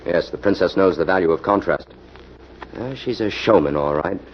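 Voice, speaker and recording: Man acting a low-voiced, composed scientist sleuth. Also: lightly acted. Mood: brisk, attentive. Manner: measured, dry, firm. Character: composed, dryly amused male scientist-sleuth. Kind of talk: 1940s radio mystery acting. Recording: muffled, noisy old radio recording.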